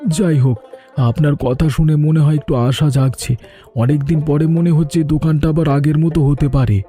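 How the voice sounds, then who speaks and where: A very mellow, muted voice sits a little high for a man, with music underneath. A male actor plays a middle-aged village shopkeeper in a cartoon, humble and anxious, pleading his troubles with plain earnestness. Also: steady tone, moderate pace, close to an everyday voice. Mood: hopeful. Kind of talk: cartoon drama dialogue.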